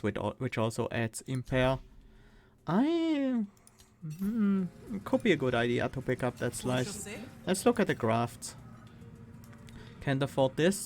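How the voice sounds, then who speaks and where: A man with a Swiss accent, streaming a card game and thinking aloud, reading cards and weighing choices with pauses and fillers. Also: medium pitch, dry recording. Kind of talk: casual gameplay commentary.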